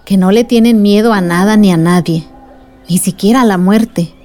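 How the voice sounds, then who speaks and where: An actress in a radio drama playing an earnest, patient adult who explains village struggles to a child. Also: female voice, mid-range voice, moderate pace, warm tone, natural voice.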